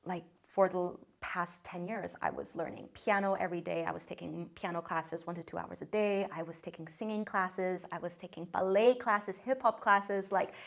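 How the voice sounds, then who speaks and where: A woman's voice: lowish voice, steady tone, moderate pace, gravelly, hardly any pauses. Upbeat young American-accented woman. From China. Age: 20s. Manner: chatty and upbeat, frequent "like" and "um" fillers. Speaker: content creator. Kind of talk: casual vlog talk to camera.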